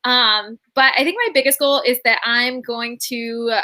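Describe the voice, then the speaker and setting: Bright, gushing young woman. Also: on the high side, steady tone, a little slow. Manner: giggly, gushing. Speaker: co-host, artist. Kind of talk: casual video call chat. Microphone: webcam on a video call.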